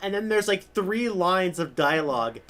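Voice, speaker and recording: A man's voice: high-pitched, lively intonation, moderate pace, a bit bright. A young man streaming a game live, making casual, rambling asides full of fillers. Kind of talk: casual live gameplay commentary.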